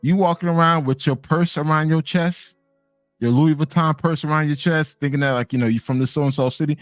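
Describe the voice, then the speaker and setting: A man hosting a live talk, his mid-range voice jumping up high whenever he drives a point home. The sound is dry and close, with faint music behind. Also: steady tone, fairly quick, dry recording. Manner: emphatic, swings up in pitch when stressing a point. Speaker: host. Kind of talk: casual live chat.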